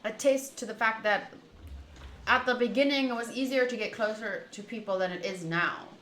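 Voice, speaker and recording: Bright-voiced woman streamer in an echoey room. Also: on the high side, normal speed. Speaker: streamer playing a visual novel. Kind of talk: casual playthrough commentary and reading game text aloud. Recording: roomy echo, faint background noise.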